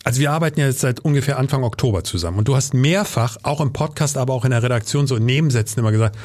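A man's voice: medium pitch, racing, darkish tone. A man hosting a podcast, speaking in a conversational moderating style. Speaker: podcast host.

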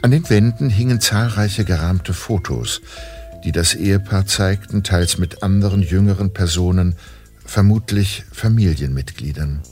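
A man narrating a German audio drama as a composed, observant storyteller, with measured literary delivery. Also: low voice, a little mellow, soft background music, natural voice. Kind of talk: scripted narration.

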